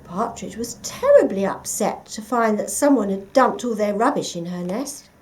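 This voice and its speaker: A woman reading a children's picture book aloud, with expressive, dramatic emphasis for young listeners. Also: mid-range voice, moderate pace, slight background noise, poor audio. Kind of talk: expressive scripted story narration.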